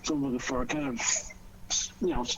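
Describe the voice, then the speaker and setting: A man's voice: mid-range voice, fairly even intonation, a little fast, dull audio, a little hiss or hum. A man, an aspiring actor, chatting casually as a guest on a group panel. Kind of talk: casual chat.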